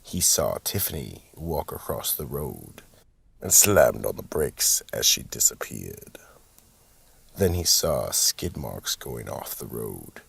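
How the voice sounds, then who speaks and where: Creepy vault keeper narrating radio horror, super gravelly, slow, a bit distant. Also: male voice, rumbling low, very animated, a bit bright, no echo, exaggerated voice. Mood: ominous. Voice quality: gravelly, husky. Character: sinister male storyteller, keeper of a horror vault. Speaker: narrator and host.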